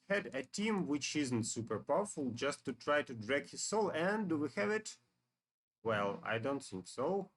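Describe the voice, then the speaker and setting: Slow-paced man commenting on a game. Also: medium pitch. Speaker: gaming video narrator. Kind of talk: casual game commentary reading dialogue aloud.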